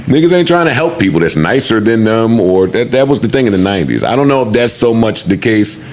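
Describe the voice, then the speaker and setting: A man's voice: on the low side, expressive, a little fast, darkish tone. A middle-aged man in casual podcast talk, streetwise and reflective, with profanity mixed in.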